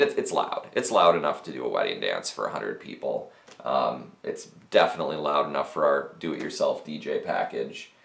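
A man's voice: low-pitched, gravelly. A man in his thirties casually reviewing audio gear to camera, talking technically with fillers and restarts, recorded in a small office. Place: small cluttered office with speakers and mixers. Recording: roomy small-office echo.

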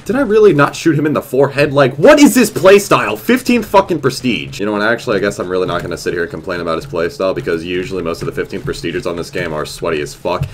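A man's voice: low-pitched, expressive, quick pace, hardly any pauses, slight background noise. A young man with a stuffy, nasal cold, ranting profanely while commenting on his own gameplay over a headset in his bedroom. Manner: profane, exasperated rants. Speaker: gaming YouTuber. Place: small bedroom at a desk.

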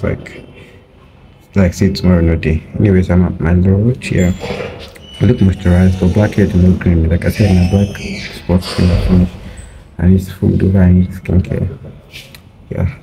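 A young man, talking softly and rambling in a casual vlog diary, filming himself outdoors on a phone held at arm's length. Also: background noise. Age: late teens. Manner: soft-spoken, low-key and rambling. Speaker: vlogger. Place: outdoors by a brick wall.

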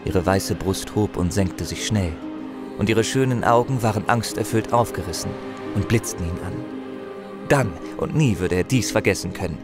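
A man's voice: fairly low pitch, normal speed, natural voice. A man narrating a fantasy audio drama as a grave, intense storyteller, with music and effects behind him. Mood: grave, dramatic.

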